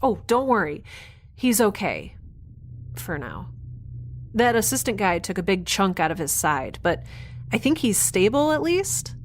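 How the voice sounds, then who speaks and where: A woman's voice: mid-range voice, sing-song, unhurried, pauses often, a little hiss or hum. A woman in an audio drama playing a plucky, awkward young adventurer, talking casually with dry humour. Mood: wry, upbeat. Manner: casual, rambling, dryly humorous. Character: plucky, wry, awkward young woman scientist-adventurer narrating her log. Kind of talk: naturalistic audio drama acting.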